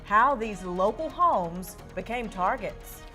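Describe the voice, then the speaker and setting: A woman's voice: mid-range voice, lively intonation, slow pace, pushed and bright, soft background music. American female news anchor, mid-range voice. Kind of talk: scripted broadcast newsreading.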